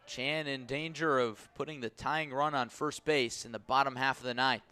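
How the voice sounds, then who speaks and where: A man's voice: medium pitch, fairly even intonation, normal speed, pushed and bright, clear, resonant tone. A man calling baseball play-by-play for radio in clipped, punchy phrases, close on a broadcast mic. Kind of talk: live sports play-by-play commentary.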